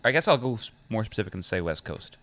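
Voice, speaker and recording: A man's voice: lowish voice, lively intonation, fast, fairly bright tone, dry recording. A young man co-hosting a podcast in casual chat, joking and laughing often. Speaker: podcast co-host.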